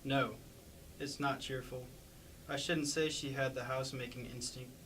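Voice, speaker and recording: A man's voice: mid-range voice, fairly even intonation, moderate pace, fairly bright tone, lightly acted. A man playing a condescending, sarcastic county attorney with a patronizing, probing manner in an amateur staged play reading. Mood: probing, businesslike. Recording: distant mic with faint background noise.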